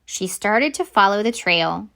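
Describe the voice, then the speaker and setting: A woman reading a children's picture book aloud as a storyteller, expressive and deliberate. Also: medium pitch, creaky.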